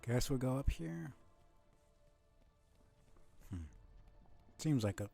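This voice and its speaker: A man's voice: mid-range voice, animated, takes it slowly, creaky, warm tone, faint music behind. A man giving casual live commentary as he plays a game, with muttered, offhand reactions. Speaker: game streamer.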